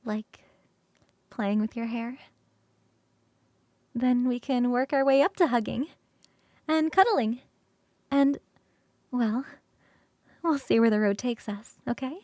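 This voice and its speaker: A high, girlish succubus roommate, played by a woman in an ASMR roleplay, recorded close and dry. She is affectionate and playful, coaxing the listener with expressive, halting delivery. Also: dry recording. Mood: soothing, tender. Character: affectionate, playful succubus roommate, young-sounding, eager to cuddle. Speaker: streamer performing scripted roleplay.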